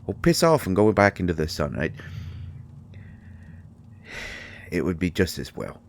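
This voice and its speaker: A man's voice: deep voice, sing-song, fairly quick, slight background noise. A man playing a grumpy, secretive fairy healer in an intimate roleplay audio, hesitant and exasperated with wry sarcasm. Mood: dryly scolding.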